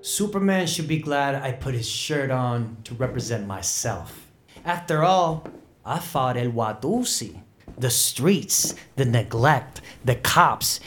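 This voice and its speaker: An actor playing a proud, fiery Puerto Rican bandleader from the Bronx, with a New York Puerto Rican accent, in an impassioned audio drama monologue that slips between English and Spanish. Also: male voice, mid-range voice. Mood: defiant.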